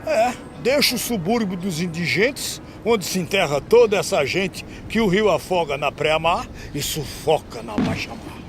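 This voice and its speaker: An actor playing a weary, complaining veteran gravedigger in an animated film, speaking plaintive rhythmic verse. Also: male voice, sits high, normal speed, hardly any pauses, over music. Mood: bleak.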